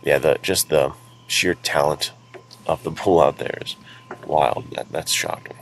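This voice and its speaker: Very deep-voiced man in casual podcast chat. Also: fairly even intonation, unhurried, creaky, slight background noise. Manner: informal, earnest, enthusiastic wording. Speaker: podcast co-host, author and publisher.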